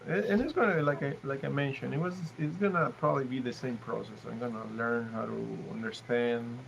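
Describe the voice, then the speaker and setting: Gravelly man. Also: medium pitch, steady tone, lo-fi sound. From Mexico. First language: Spanish. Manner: halting, with frequent restarts and pauses. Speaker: live stream host. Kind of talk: casual live stream chat. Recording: noticeable background noise.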